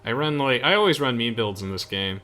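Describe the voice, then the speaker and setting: Bright-voiced young man chatting over gameplay. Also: medium pitch, animated, a little fast. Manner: chatty, explanatory, with hesitant trailing asides. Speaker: gamer hosting a let's play. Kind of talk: casual gameplay commentary with friends.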